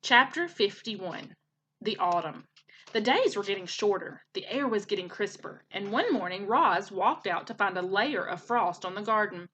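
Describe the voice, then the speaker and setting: Lady teacher reading a kids book out loud, bright expressive voice, little bit of noise behind. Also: mid-range voice. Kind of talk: expressive children's storybook narration.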